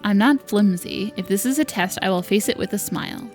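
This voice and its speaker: A woman's voice: mid-range voice, a little fast, a little mellow, faint music behind, close to an everyday voice. A woman voicing a young servant stepdaughter, wry and resentful but patient, reading visual novel lines aloud. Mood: resolute.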